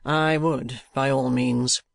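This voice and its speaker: A stern businessman uncle from a storybook, acted by a man. He is curt, impatient and sardonic, with gravelly creak in a dry, close recording. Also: mid-range voice, fairly even intonation, slow pace, no echo. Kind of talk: audiobook character voice.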